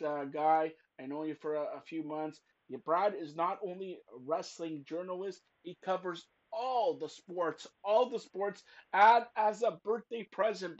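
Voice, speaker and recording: A man hosting a wrestling podcast, talking loudly and teasing his guests, rambling with lots of fillers. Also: sits high, highs cut off. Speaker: podcast host. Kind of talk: loud joking wrestling podcast chat.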